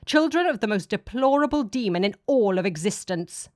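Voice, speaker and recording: A woman's voice: mid-range voice, animated, moderate pace, a little mellow, dry recording, clean studio sound, close to an everyday voice. A woman voicing a cloaked male false god of aliens in an audiobook, menacing and contemptuous, with a sneering, commanding manner. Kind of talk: dramatic audiobook character voice.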